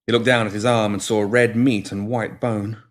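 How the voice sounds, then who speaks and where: A man's voice: lowish voice, flat delivery, normal speed. A man reading a scripted story as an audiobook narrator, in descriptive prose. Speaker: story reader.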